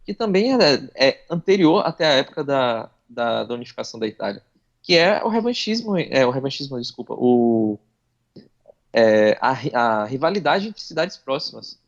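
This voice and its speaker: A man's voice: mid-range voice, sing-song, moderate pace, gravelly, dull audio. A man on a podcast panel explaining history in a casual way, correcting himself as he goes.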